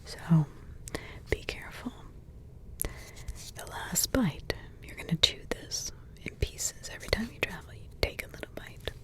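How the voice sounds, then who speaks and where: A woman voicing a protective witch grandmother in an ASMR roleplay, in a soft whisper with slow, rambling, repetitive asides. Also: fairly low pitch, lively intonation. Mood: hushed, caring. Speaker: ASMR creator.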